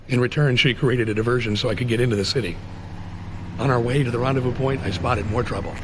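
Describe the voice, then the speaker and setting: An actor playing a stoic former Army Ranger and special agent with grave, clipped delivery in a video game. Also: male voice, medium pitch, natural voice. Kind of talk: scripted game cutscene acting. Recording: game audio with background noise.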